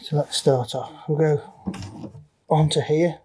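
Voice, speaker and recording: A man's voice: fairly high pitch, fairly even intonation, measured pace, darkish tone, slight background noise. A man giving casual, matter-of-fact tutorial commentary, talking through each step as he works on electronics. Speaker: DIY electronics presenter. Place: indoor electronics workbench.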